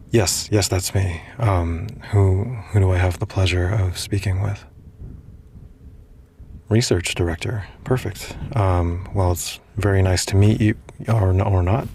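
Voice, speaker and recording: A man plays an earnest, awkward starship commander in an immersive audio drama. His voice is very low and gravelly. He talks haltingly, stammering and muttering to himself, with faint ambient noise behind him. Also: a little mellow. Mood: awkward, smitten. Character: awkward, earnest space commander, self-deprecating emissary, smitten.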